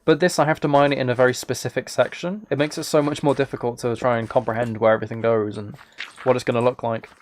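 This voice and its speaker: A man casually chatting on a live game stream, thinking aloud in a relaxed way, close to the microphone. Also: medium pitch, fast talker, few pauses, slight background noise. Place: indoors at a computer.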